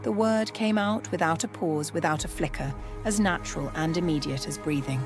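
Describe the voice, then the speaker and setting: A woman reading scripted story narration in a measured, literary way. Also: low voice. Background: music.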